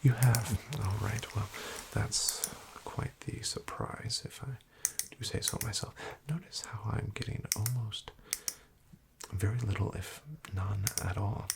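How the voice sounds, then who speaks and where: Slow whispering man, gravelly. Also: fairly low pitch. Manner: slow, hushed and wryly deadpan, with soft fillers. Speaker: ASMR roleplay host playing a doctor. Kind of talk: whispered ASMR roleplay.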